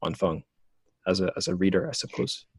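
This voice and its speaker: A man's voice: sits low, animated, a little fast, breathy edge, no echo. A male podcast host talking casually with a guest, rambling with lots of fillers and self-corrections. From lived in China. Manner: rambling, many fillers and restarts. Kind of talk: casual interview chat.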